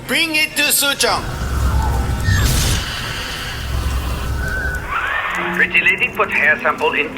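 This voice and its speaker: A terse scheming scientist. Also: male voice, sits high. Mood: curt. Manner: speaks of himself in third person, terse. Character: scheming male scientist, clipped broken speech.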